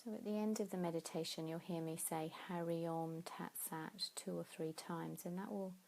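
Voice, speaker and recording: Low, mellow woman guiding meditation. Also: steady tone, gravelly. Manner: soothing, unhurried, pausing between phrases. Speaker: yoga nidra meditation teacher. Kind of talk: guided sleep meditation.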